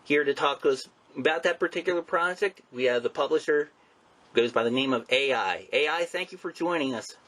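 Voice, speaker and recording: A man's voice: on the high side, fairly quick, a bit bright. A man hosting a comics show, talking casually through introductions and announcements.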